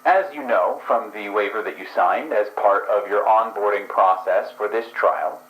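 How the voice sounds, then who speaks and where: A man's voice: fairly low pitch, normal speed, fairly bright tone, roomy sound, natural voice, rough recording. A man voicing a coolly polite researcher running a drug trial, speaking in a clinical, formal way. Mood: smooth.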